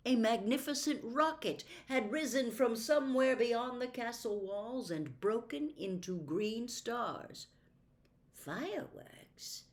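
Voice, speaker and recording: Slow, measured woman storybook reader. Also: fairly high pitch. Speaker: audiobook reader. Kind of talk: scripted storybook narration.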